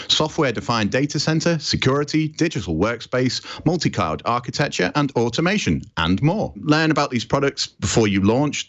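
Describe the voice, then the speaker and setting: A British man hosts a podcast in an informal, chatty style, with a medium-pitched voice. He comes across as self-deprecating, and the recording is a little dull and lo-fi. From Britain. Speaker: podcast host.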